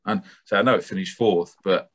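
A man's voice: sits low, fairly quick. A man in his forties giving a rambling, casual review on a video call, full of ums and sort-ofs. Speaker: panelist. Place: home room with bookshelves. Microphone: webcam on video call.

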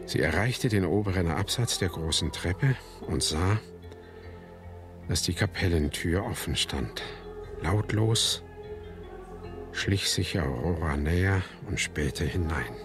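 Deep-voiced, sombre son as narrator. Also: male voice, animated, music in the background. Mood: reflective. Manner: sombre, deliberate. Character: grave son narrating his family's history. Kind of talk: scripted radio drama narration.

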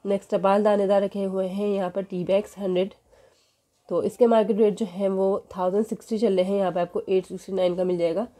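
A woman's voice: medium pitch, fast talker. A woman, walking through supermarket sale prices in a casual vlog, filming a poster on her phone in a store. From Pakistan. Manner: steady price listing with filler "jo hai". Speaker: shopping vlogger. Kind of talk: casual product walkthrough. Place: indoors in a supermarket.

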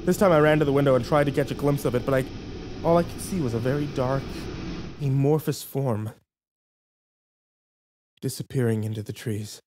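A man narrating a first-person horror story, hushed and tense, with occasional acted lines. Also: medium pitch, normal speed, long pauses, background noise.

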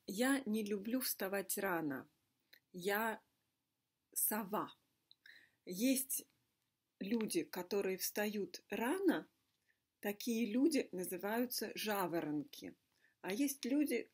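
Slow-talking woman teacher, slightly husky. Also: medium pitch. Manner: deliberate, simple short phrases with careful repetition. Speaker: Russian language teacher. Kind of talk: slow scripted language lesson monologue. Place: indoor room with a fireplace.

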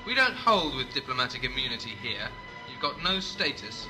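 An actor playing a brutal prison camp overseer in a sci-fi audio drama, with a bullying, mocking manner. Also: male voice, mid-range voice, big pitch swings, fairly quick, music in the background, close to an everyday voice, rough recording. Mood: sneering.

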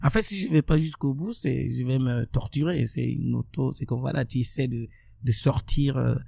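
Man, gravelly, dry close sound, reflective, stop-and-start phrasing. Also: mid-range voice, no room sound. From Burkina Faso. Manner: thoughtful, halting with many restarts. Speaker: musician guest. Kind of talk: reflective interview talk. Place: bright room with plants and large windows. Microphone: studio mic on a boom arm.

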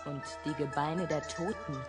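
An actress playing a fiery, dramatic young actress in a German radio crime drama. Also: female voice, deep voice, fairly even intonation, normal speed, lightly acted. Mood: brooding, poetic. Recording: studio radio play with music behind.

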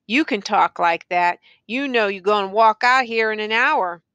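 A woman's voice: mid-range voice, moderate pace, sharp tone, smooth, no room sound, lightly acted. A woman voicing a bitter young condemned man in a jail, needling in rural Southern vernacular for an audiobook story. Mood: sullen, bitter.

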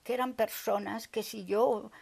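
Older woman with a gravelly, husky voice. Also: on the high side, a little slow. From Basque Country. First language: Basque. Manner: lively storyteller, gestures a lot, acts out her own past remarks. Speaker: interviewee. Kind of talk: casual interview. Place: living room, on a sofa.

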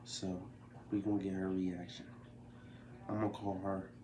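A man's voice: low-pitched, flat delivery, normal speed. A young man chatting casually to camera as a prank call vlogger, slangy and profane, often repeating his phrases. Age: young adult. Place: small indoor room at home. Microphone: camera mic a short distance away. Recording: faint room noise.

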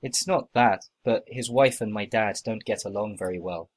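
A man acting out short scripted everyday dialogues for a listening test, with plain, expressive conversational reading. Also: lowish voice, normal speed.

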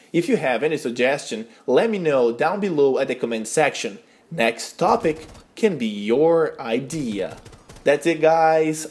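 A young man with a Brazilian Portuguese accent talks through a game tutorial in English, his second language. His phrasing is earnest and plain, with small grammar slips, and his pitch sits in an ordinary middle range. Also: a little mellow. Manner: earnest, simple instructional phrasing with non-native grammar slips. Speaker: gaming channel host.

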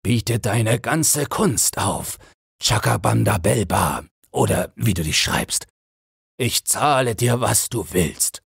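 A man's voice: fairly low pitch, animated, slow pace, creaky, fairly bright tone, breathy edge, no echo, studio quality, voice pushed up, exaggerated voice. A man voicing a greedy, hot-tempered old lord in a fairy tale, given to threats and wailing. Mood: desperate, wailing. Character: raging, greedy old male lord, fearful for his gold.

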